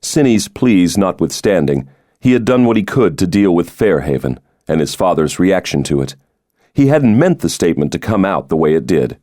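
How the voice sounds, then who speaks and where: Low, lively male audiobook narrator. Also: moderate pace, dry recording, clean studio sound. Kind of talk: scripted thriller narration. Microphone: close, dry studio microphone.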